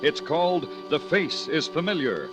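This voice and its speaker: Loud music plays behind a male radio announcer. His slightly high voice moves with lively, dramatic intonation through a scripted mid-century thriller introduction. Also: normal speed. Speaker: programme announcer.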